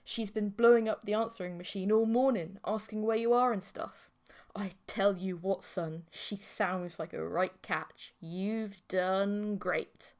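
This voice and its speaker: A woman puts on a knowing, pleased dad for an audiobook. He talks casually and slowly, with a teasing manner toward his son. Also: medium pitch, steady tone, lightly acted. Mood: pleased, proud.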